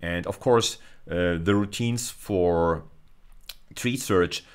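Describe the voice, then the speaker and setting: A middle-aged man with a German accent giving a recorded university lecture, explaining step by step with frequent fillers. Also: very deep voice.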